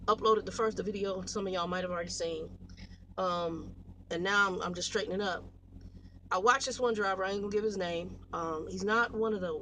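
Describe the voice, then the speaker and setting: An American woman in her late forties talks casually to her viewers, rambling through stories in a blunt, animated, slangy way. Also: mid-range voice, slight background noise, low-quality recording. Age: 40s. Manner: blunt, animated storytelling with slangy, salty language. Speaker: truck driver vlogger.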